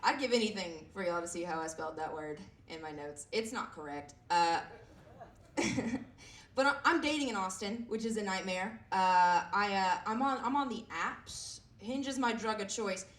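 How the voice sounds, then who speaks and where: A woman in her twenties with a raspy voice, performing a self-deprecating stand-up set with lots of fillers and halting restarts. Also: mid-range voice, expressive. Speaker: stand-up comedian. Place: comedy club stage. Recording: echoey room heard from a distance.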